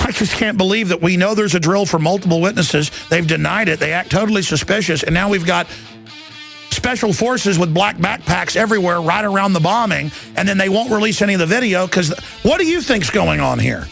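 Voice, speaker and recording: A man's voice: high-pitched, animated, a little fast, a bit bright, soft background music. A man hosting a talk radio show, ranting off the cuff in an agitated, emphatic, rambling way, often repeating words. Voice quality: gravelly. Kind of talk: impassioned unscripted talk radio rant. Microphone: close studio broadcast microphone.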